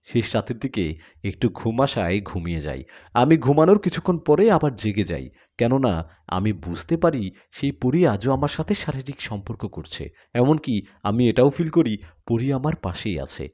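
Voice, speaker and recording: A man tells a horror tale in the first person. His medium-pitched voice rises and falls widely, with dramatic storytelling delivery. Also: big pitch swings, moderate pace, warm tone.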